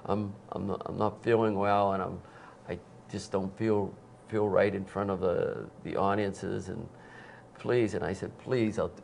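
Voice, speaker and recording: A man's voice: low-pitched, a little slow, gravelly, slight background noise. A man in his sixties, a concert promoter, telling stories in a TV studio interview and acting out the quotes. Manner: animated, acts out quotes with sudden loud bursts. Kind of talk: anecdotal storytelling. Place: TV studio set. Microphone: lapel mic.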